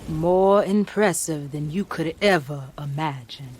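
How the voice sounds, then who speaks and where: An actress playing a mysterious, teasing and ominous cult woman with drawn-out delivery in a video game. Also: low-pitched, a little hiss or hum. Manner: drawn-out, enigmatic. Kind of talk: video game cutscene dialogue.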